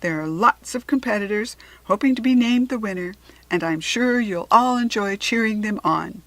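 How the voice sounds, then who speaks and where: A woman voicing a hearty male games organizer in a children's storybook, making loud, emphatic announcements. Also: mid-range voice, moderate pace, no echo, natural voice. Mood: hearty, welcoming. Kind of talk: children's storybook character reading.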